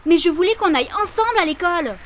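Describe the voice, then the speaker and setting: A woman's voice: extremely high pitch, lively intonation, fast, a little hiss or hum. A woman voicing a bossy, impatient teenage schoolgirl with a scolding manner in a French anime fan dub. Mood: bossy, annoyed. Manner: scolding, demanding.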